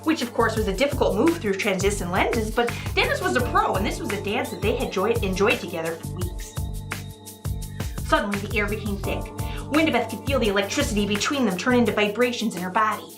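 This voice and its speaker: A woman who speaks in an earnest, halting, apologetic way, as a guest novelist on a comedy show. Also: medium pitch, animated, music in the background. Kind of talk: dramatic reading of romance prose, then casual interview talk.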